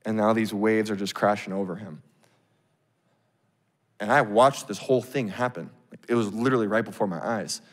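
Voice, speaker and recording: A man in his thirties preaching on a church stage into a handheld mic, telling a story in a casual, animated way. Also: on the low side, moderate pace, long pauses. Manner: animated, acts out scenes, casual fillers. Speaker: pastor. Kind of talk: sermon storytelling. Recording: long reverb of a big hall.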